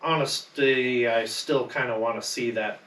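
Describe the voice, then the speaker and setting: A man's voice: mid-range voice, fairly even intonation, measured pace, over a noisy crowd. Blunt man in an echoey, distant recording. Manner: blunt, casually profane. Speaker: podcast co-host. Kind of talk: casual panel chat. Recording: roomy echo, distant mic, faint noise.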